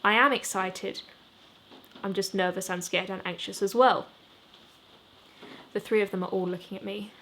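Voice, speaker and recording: A woman narrates a novel in the first person as its author, in an expressive audiobook style. Her pitch sits in the middle range, and there is light background noise behind her. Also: moderate pace, long pauses, low-quality recording. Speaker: author reading own novel. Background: rain ambience.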